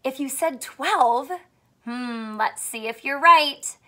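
A young woman teacher doing a read-aloud math lesson for children, animated and full of questions, speaking clearly for kids. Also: high voice, takes it slowly. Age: young adult. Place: small furnished room at home, indoors.

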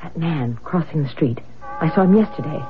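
A woman's voice: deep voice, lively intonation, normal speed. A woman playing a frightened, exasperated housewife in a radio drama, anxious and fretful, on a tinny old broadcast. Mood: nervous.

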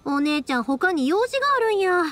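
A spirited young woman from an anime game, high-voiced and swooping, speaking in a Kansai accent. She is caring and big-sisterly, with music playing faintly behind her. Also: big pitch swings, brisk, a bit bright, full character voice. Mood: kindly, reassuring.

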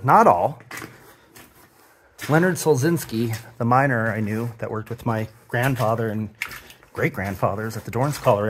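A man's voice: medium pitch, slight background noise. A man narrating his own exploration of a cramped abandoned mine, enthusiastic and explaining what he sees as he goes.

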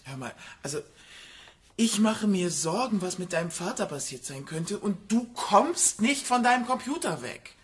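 An anxious, bewildered mother in a radio crime drama. She speaks haltingly, with faint noise behind her. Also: low-pitched, fairly bright tone. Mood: worried. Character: worried, bewildered mother of a teenager.

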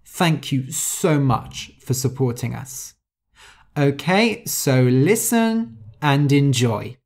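A podcast host reads an easy story for learners at a very unhurried pace, leaving room after each phrase. The voice sits at an ordinary middle pitch and stays clear and careful. New words get explained, and some are spelled out letter by letter. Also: big pitch swings, darkish tone. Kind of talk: slow, clear story reading for English learners.

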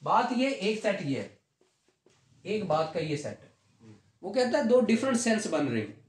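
Man, Pakistani accent, high voice, classroom lecturer, explanatory, repetitive, English legal terms, roomy echo. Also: very animated, a little slow. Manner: explanatory, repeats key points, mixes in English legal terms. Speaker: law lecturer. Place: small room at a whiteboard, indoors. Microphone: camera a few steps away. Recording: roomy echoing indoor recording.

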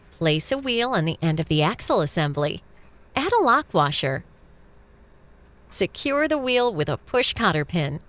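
A woman's voice: mid-range voice, a bit bright. A woman reading scripted instructional narration for a product video. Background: music.

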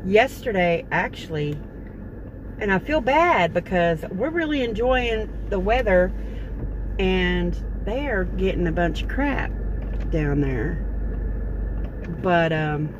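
A woman's voice: on the low side, expressive, slow pace, pauses often, dull audio. A middle-aged woman, chatting casually and rambling with lots of fillers to her phone camera in a parked car. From Texas. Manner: chatty, meandering, folksy with many fillers. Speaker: vlogger. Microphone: phone camera at close range. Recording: car interior with noticeable background noise.

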